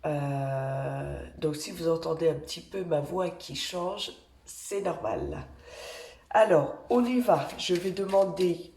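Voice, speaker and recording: A woman with a cold talks through her weekly card reading in a slow, unhurried way, stopping often to search for words. Her voice is mellow and a little low, and the room around her rings with echo. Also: lively intonation. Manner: gentle, reassuring, pauses often while drawing cards. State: has a cold. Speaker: energy healer giving weekly card guidance. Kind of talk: slow, hesitant spoken guidance with many fillers.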